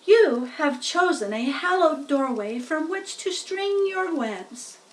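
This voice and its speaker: An earnest young boy pig from a storybook, acted out by a woman, speaks in a high voice. He is gentle and tenderhearted, choosing his words politely and formally. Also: far from the mic, poor audio.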